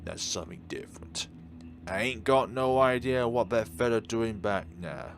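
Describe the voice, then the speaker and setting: A man voicing a drowsy, rough-spoken old village elder with a folksy, cryptic way of talking. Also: on the low side, lively intonation, normal speed, slight background noise. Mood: cryptic, weary.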